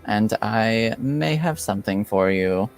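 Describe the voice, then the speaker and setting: A cloaked woman informant, played by a man at the table, speaks in stiff, formal sentences with no contractions. The voice sits low and the speech comes slowly. Soft music plays faintly in the background. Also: expressive. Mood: measured. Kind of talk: in-character roleplay.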